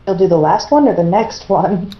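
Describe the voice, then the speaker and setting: A woman's voice: on the low side, lively intonation, moderate pace. A woman chatting casually and reading a story aloud as an amateur, over an internet voice call. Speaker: participant in a group fan fiction reading. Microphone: internet group voice call. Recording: dull, echoey internet voice call.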